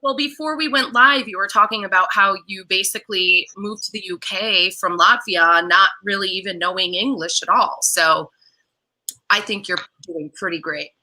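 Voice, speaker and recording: Very bright, upbeat woman hosting. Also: mid-range voice, normal speed, poor audio. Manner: upbeat and welcoming, with scripted-sounding introductions. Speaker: talk show host. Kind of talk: online talk show hosting.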